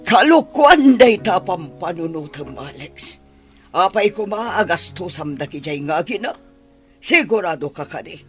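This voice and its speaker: A man playing a stern older mother who scolds her grown son in a radio drama, with sharp, reproachful delivery. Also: high voice, big pitch swings, unhurried, soft background music. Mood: scolding.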